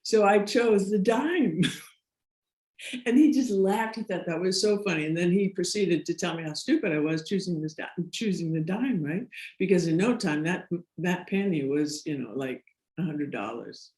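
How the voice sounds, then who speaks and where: A woman's voice: lowish voice, animated, normal speed, a little mellow. A middle-aged American woman, a podcast guest, talking casually and rambling with frequent laughs and restarts. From United States. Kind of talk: casual interview storytelling. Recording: roomy echo.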